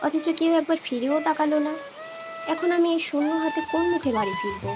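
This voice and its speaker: A woman's voice: high voice, expressive, fast talker, background noise. A woman voicing a poor, honest village wife in a cartoon, speaking earnestly and plaintively. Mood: despairing. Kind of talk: cartoon character dialogue. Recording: music behind the voice.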